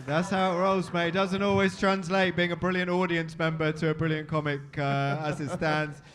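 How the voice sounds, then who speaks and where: Bright-voiced compere, high and sharp. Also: male voice, little pitch movement, normal speed. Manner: blunt, matey, builds to loud announcements. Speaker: comedy show host. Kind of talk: live compering and giving feedback. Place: comedy club stage.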